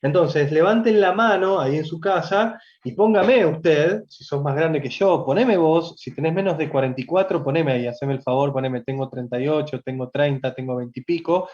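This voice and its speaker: A man's voice: a little high, moderate pace, few pauses, darkish tone. Rioplatense man preaching, muffled audio. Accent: Rioplatense Spanish. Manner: conversational, addresses listeners directly, with jokes. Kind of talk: livestreamed Bible teaching.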